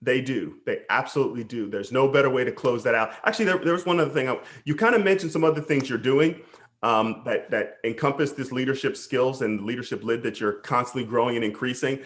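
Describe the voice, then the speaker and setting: A man's voice: medium pitch, quick pace, a bit bright. A man hosting a casual interview as a coach, enthusiastic and affirming.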